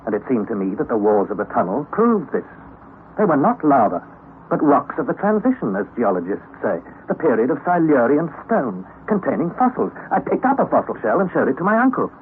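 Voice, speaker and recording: A young nephew telling of an expedition, voiced by a man in an old radio drama. He narrates in a measured way with lively rises and falls. The recording is thin, phone-like and lightly hissy. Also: medium pitch, natural voice. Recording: tinny lo-fi old radio recording, faint hiss.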